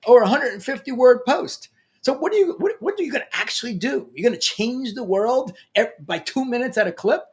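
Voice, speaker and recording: Lively New York man giving a pep talk. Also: very high-pitched, fairly quick. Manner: energetic, emphatic, playful. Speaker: LinkedIn coach. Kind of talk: motivational talk to camera.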